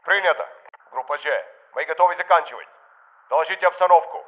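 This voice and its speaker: An actor playing a commanding Russian military controller over a radio filter in a video game, with a Russian accent. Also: male voice, fairly high pitch, fast talker. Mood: official, commanding. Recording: narrow, tinny military radio.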